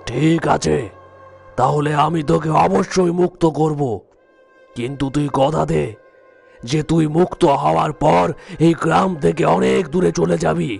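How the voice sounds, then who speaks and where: A man acts out a commanding village exorcist in a cartoon tale. His voice is heavily creaky and a bit high for a man, solemn and stern, over prominent music. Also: steady tone, a little slow, darkish tone. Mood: firm, solemn. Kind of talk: animated horror story acting. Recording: music behind the voice.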